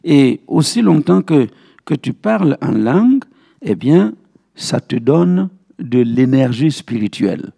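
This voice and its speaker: A man's voice: medium pitch, sing-song, slow, creaky, warm tone, husky. A man speaking as a pastor, teaching a Bible study in a didactic way and repeating key phrases for emphasis. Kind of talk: Bible teaching with verse citations.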